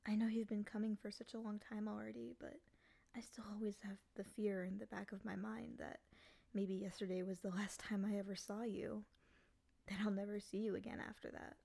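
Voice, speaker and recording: A woman voicing a lonely, clingy female demon in a soft, often whispery voice, coaxing and pleading in an intimate ASMR roleplay. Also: medium pitch, little pitch movement, brisk, vocal fry. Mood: wistful, anxious.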